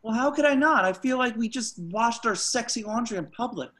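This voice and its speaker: A man's voice: very high voice, animated, a little fast, fairly bright tone, exaggerated voice. A man playing a sheepish, hapless young slacker in a table read over a video call. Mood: awkward, defensive.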